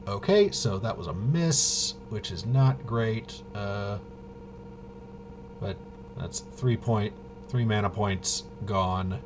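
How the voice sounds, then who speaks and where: Low-voiced man thinking aloud, noisy recording. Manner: halting, thinking aloud, muttering to himself. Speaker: game master demonstrating a tabletop RPG. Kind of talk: casual improvised narration of solo tabletop gameplay.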